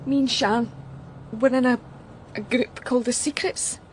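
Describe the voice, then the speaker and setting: A young Scottish woman from Glasgow played by an actress in a radio drama, with a fairly high voice. She is shaken yet chatty, rambling in blunt, colloquial phrases, with faint noise behind her. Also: steady tone, normal speed, lightly acted. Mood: shaken, upset. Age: young adult. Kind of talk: naturalistic radio drama acting.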